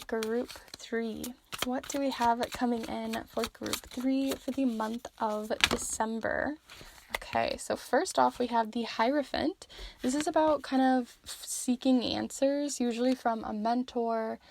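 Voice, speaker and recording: A young woman giving a chatty tarot reading, a little hoarse as she is losing her voice. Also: a little high, slight background noise. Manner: chatty, filler-heavy, warm encouragement. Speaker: tarot reader. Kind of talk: casual tarot reading to camera.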